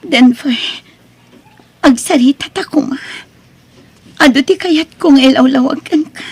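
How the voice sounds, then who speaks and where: An actress playing a wronged, long-suffering wife in an Ilocano audio melodrama, plaintive and imploring, with an Ilocano accent. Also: female voice, high voice, unhurried, darkish tone, no echo. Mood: pleading. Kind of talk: Ilocano radio-style melodrama acting. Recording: music under the voice.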